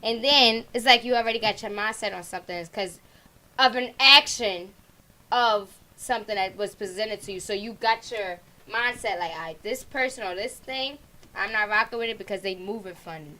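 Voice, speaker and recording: A young woman co-hosting a casual debate, blunt and quick to swear. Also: mid-range voice, bright, forceful tone.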